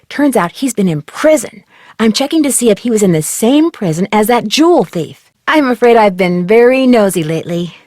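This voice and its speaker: An actress playing a curious, plucky young woman detective in an adventure game, speaking in a clear, conversational, scripted way. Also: medium pitch, darkish tone, dry recording, clean studio sound, natural voice.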